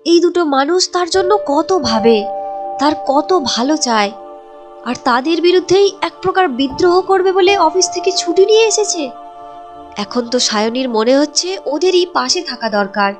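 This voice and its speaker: Lady narrator for a cartoon story, really high voice, music in the back. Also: lively intonation, moderate pace.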